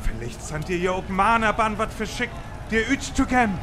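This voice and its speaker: A man's voice: sits high, expressive, moderate pace. A man playing an agitated onlooker calling out in a noisy radio play scene. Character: agitated male onlooker at a crash site. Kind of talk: radio play crowd acting.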